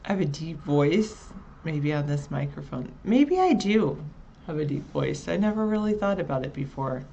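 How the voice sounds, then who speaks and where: A woman co-hosting a casual live chat show, relaxed and chatty, answering viewers by their usernames. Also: low voice, moderate pace, gravelly, a little hiss or hum.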